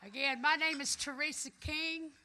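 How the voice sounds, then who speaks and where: A woman's voice: sits high, fairly even intonation, moderate pace, a little hiss or hum. A middle-aged woman with a Southern US accent, delivering a rousing, folksy, emphatic conference speech as a union leader. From American South, Florida. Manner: emphatic, folksy, loud and declamatory. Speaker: union electrician and trades council president giving a speech. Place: conference hall, addressing an audience.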